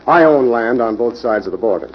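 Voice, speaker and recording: An actor playing a self-assured, cooperative logging company owner with an air of authority in an old-time radio drama. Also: male voice, mid-range voice, animated, fairly quick, lightly acted. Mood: matter-of-fact. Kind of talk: 1940s radio acting. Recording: muffled, faintly hissy old radio recording.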